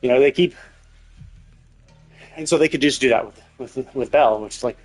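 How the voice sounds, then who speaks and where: A man's voice: medium pitch, normal speed, a little mellow. A man hosting a livestream, giving casual game commentary in a rambling way, thinking aloud as he goes. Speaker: livestream host. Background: game music.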